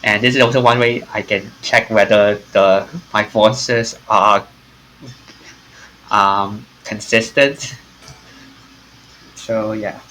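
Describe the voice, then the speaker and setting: A man giving casual live stream commentary in a halting, rambling way. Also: on the low side, fairly even intonation, sharp tone, a little hiss or hum, rough recording. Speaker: game streamer.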